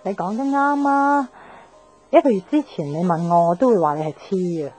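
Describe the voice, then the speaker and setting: A woman's voice: medium pitch, moderate pace, darkish tone, natural voice, poor audio. An actress playing a restless young woman in a radio drama, talking in an insistent, explaining way. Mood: wistful, insistent. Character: restless young woman friend craving a new life. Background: faint background music.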